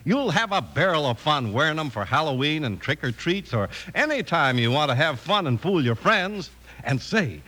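A man's voice: fairly high pitch, bright, forceful tone. A man with an American accent announcing and narrating in an upbeat, polished 1950s radio style.

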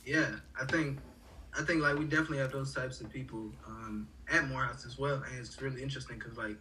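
Bright-voiced young man, slightly distant. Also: mid-range voice, fairly even intonation, quick pace, a little hiss or hum. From Haiti. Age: young adult. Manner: rambling, many fillers and restarts. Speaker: college student guest. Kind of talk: casual conversation.

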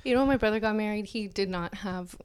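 A woman's voice: medium pitch, fast, smooth. Woman giving a dramatic essay reading. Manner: reads aloud theatrically, breaking off into chatty banter. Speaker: podcast co-host.

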